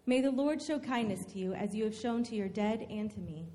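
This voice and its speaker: A woman playing a grieving older widowed mother-in-law in an earnest, pleading, deliberate dramatic scripture reading. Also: mid-range voice, mellow, close to an everyday voice. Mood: tender, sorrowful. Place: large church hall, live stage reading. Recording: long reverb of a large hall.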